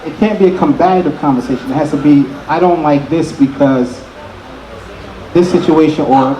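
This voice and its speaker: A man with a soft-edged, mellow voice that sits a bit high explains his take in a panel discussion. His words come with a strong room echo. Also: moderate pace, a little hiss or hum. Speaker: panelist giving relationship advice. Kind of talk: unscripted discussion. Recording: echoey room.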